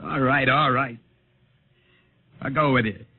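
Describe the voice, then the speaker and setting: Subdued test pilot, muffled recording. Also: male voice, mid-range voice, takes it slowly, husky. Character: subdued male test pilot pretending to give in. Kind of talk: 1950s radio drama acting. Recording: muffled, faintly noisy vintage radio recording.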